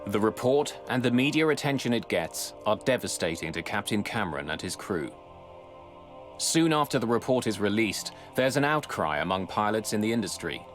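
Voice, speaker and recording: A man giving scripted, dramatic documentary narration in a measured, grave way, close on a studio mic. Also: fairly low pitch, animated. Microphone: close studio voice-over mic. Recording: music bed under narration.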